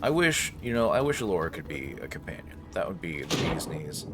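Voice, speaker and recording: Deep-voiced playful man, noisy recording. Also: sing-song, a little fast. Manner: playful, jokey asides to game characters. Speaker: gaming host playing through a game. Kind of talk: casual gameplay commentary. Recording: clearly noisy recording with background ambience. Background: game ambience and action sounds.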